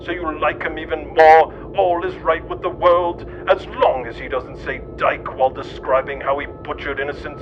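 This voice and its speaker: A man's voice: a little high, noisy background. A man reading scripted satirical narration in a sardonic, mocking manner. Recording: dull lo-fi sound, music behind. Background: clear background music.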